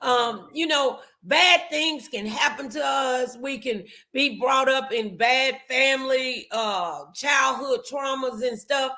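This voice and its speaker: An American woman in middle age hosts a motivational talk show. Her voice is bright and forceful, and she takes her time, placing her words deliberately and with emphasis. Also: high voice.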